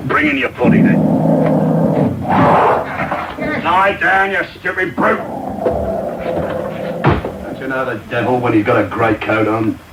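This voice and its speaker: Man acting gruff bullying thug, noisy radio. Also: mid-range voice, normal speed, long pauses, close to an everyday voice. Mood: scornful, surly. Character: brutal, surly male housebreaker, rough and threatening. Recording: very noisy medium-wave radio broadcast off tape.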